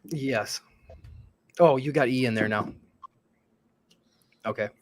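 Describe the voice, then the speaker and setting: A man in his forties co-hosting a casual livestream chat, speaking in a reflective, halting way with many fillers. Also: medium pitch, slight background noise. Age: 40s. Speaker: livestream co-host.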